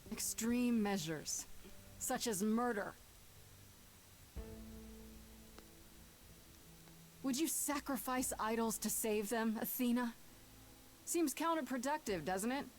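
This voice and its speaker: A woman's voice: a little high, animated, measured pace. An actress playing a bold, defiant young woman who accuses gods, in a video game. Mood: sardonic challenge.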